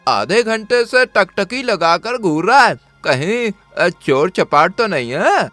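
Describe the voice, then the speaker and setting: Sneering shop owner, high lively voice, cartoon. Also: male voice, slow pace, dry recording, faint music behind, exaggerated voice. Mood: mocking. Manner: sneering, mocking. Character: mocking suspicious shop owner man.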